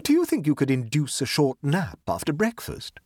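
A man's voice: a little high, wildly swooping pitch, normal speed, mellow, no echo, studio quality, voice pushed up. A man voicing a gracious, soothing married society hostess in a storybook, polite, solicitous and coaxing. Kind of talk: audiobook character dialogue.

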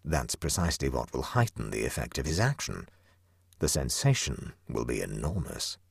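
A man's voice: a bass voice, moderate pace, vocal fry, breathy edge, no room sound, pitched below the performer's own voice, exaggerated voice. A man doing a cool, unruffled young prankster. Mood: cool, nonchalant. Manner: calm, dry and matter-of-fact.

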